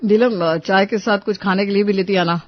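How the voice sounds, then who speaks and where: A woman's voice: mid-range voice, steady tone, moderate pace, no room sound, dull audio, natural voice. A woman voicing a fussy, demanding husband in a cartoon family story. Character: fussy, demanding husband, adult office worker. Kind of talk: cartoon family drama acting.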